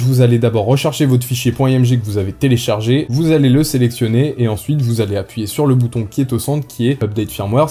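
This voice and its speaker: A man's voice: medium pitch, mellow. A man doing a casual gear review, explaining things step by step in an informal way. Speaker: motorcycle gear reviewer and vlogger. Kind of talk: casual product review and tutorial. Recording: music behind the voice. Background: background music.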